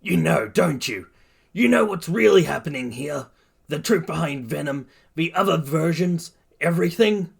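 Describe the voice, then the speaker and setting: A man voicing an aggressive, hot-headed teenage boy in a narrated story, forceful and confrontational. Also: medium pitch, animated, moderate pace, vocal fry, hardly any pauses, slightly rough. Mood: accusing.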